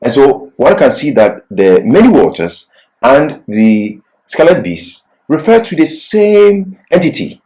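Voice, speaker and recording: A man's voice: mid-range voice, big pitch swings, unhurried, warm tone, rough recording. A man giving an expository Bible lecture as a teacher, didactic and leaning on key words.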